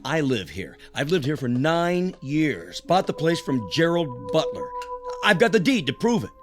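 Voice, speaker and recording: Radio drama bit part: crusty indignant older homeowner, highish voice, swooping delivery, music bed. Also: male voice, sing-song, a little slow. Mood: indignant, threatening.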